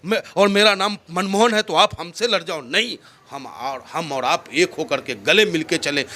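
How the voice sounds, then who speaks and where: A man's voice: fairly high pitch, moderate pace, bright, forceful tone, slight background noise. A man from India with a North Indian accent, speaking in an impassioned, oratorical way as a political interviewee, emphatic and repeating phrases for effect.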